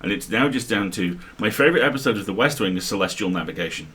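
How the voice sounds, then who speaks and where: A man's voice: on the low side, lively intonation, quick pace, a bit bright, breathy edge. A man co-hosting a casual chat in a wry, encouraging manner. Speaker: co-host. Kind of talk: casual banter.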